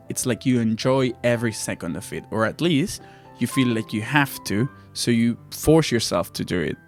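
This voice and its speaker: A young man from Argentina, a native Spanish speaker, giving casual advice to viewers as a video host. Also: mid-range voice.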